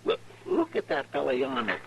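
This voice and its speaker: An actor playing a garrulous, curious cowboy sidekick with an excitable rural Western American drawl in an old radio western. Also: male voice, medium pitch, normal speed, vocal fry. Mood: curious, hesitant. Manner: folksy, excitable, hesitant. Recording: hissy old radio broadcast.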